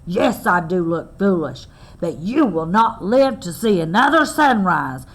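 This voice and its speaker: A woman's voice: very animated, measured pace, poor audio. A woman doing a big grumpy growling bear. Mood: menacing. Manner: low growling threat.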